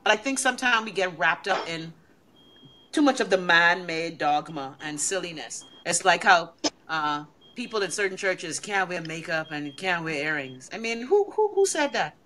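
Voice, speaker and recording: A woman's voice: lowish voice, expressive, moderate pace, bright, forceful tone, slight background noise. A woman co-hosting a casual podcast, making playful joking asides. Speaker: podcast co-host.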